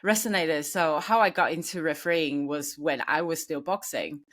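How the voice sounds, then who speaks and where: Deep-voiced woman hosting an interview. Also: moderate pace, a bit bright. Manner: encouraging, curious, rambling questions with fillers. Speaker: podcast host. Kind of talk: casual interview conversation.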